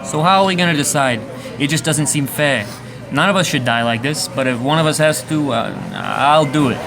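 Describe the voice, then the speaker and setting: A man's voice: on the high side, big pitch swings, normal speed, music in the background. A man playing a guilt-ridden, resigned jailed killer in an amateur radio play.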